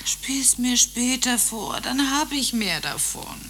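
A woman's voice: a little high, lively intonation, slow pace, fairly bright tone, slight background noise. An actress playing a weary, sleepy mother who wants to be left alone, in a radio play. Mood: sleepy, placating. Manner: drowsy, reluctant.

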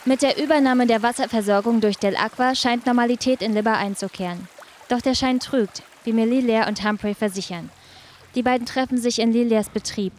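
An actress playing a composed, probing reporter who narrates a documentary-style radio play. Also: female voice, fairly high pitch, fairly quick, slight background noise, close to an everyday voice.

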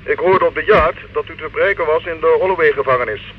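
Man as a brisk, officious legal official. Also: fairly high pitch, natural voice. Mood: sceptical, reproving. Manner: formal, brisk, reproving. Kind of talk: old radio drama acting. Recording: dull, hissy old radio recording.

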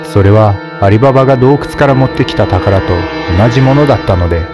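A man narrating a fairy tale in a steady, measured scripted reading. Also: mid-range voice, music in the background.

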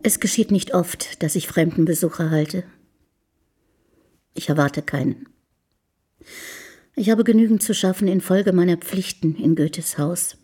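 An actress playing a guarded, down-to-earth middle-aged housekeeper of the early 1800s in a radio play, speaking plainly and tersely. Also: female voice, fairly low pitch, measured pace, pauses often, mellow, breathy edge. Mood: guarded, dry. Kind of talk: German radio play acting.

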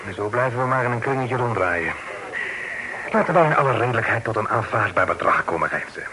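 An actor playing a formal, reasonable gentleman merchant in an old radio play, speaking in a measured way. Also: mid-range voice, expressive, noisy background, close to an everyday voice. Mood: firm, reasoning. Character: tired, formal gentleman merchant negotiating firmly but reasonably.

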